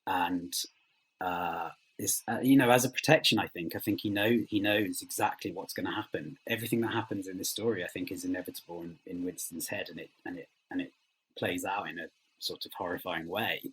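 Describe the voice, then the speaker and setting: A man being interviewed as a writer, speaking reflectively and haltingly as he searches for words. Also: sits low, a bit bright, breathy edge, low-quality recording.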